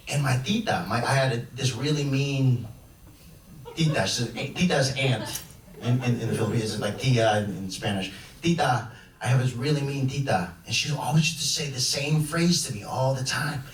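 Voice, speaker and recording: A man's voice: medium pitch, slight background noise. A young man from Chicago doing a stand-up comedy set on a club stage, talking in a loose, rambling way full of restarts. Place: comedy club stage before a laughing audience. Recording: echoey club room from far off.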